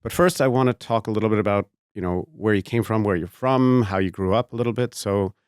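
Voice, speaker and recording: A man's voice: lowish voice, quick pace, a little mellow, smooth, no room sound, studio quality. A man hosting an interview podcast, asking casual, probing questions. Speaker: podcast host.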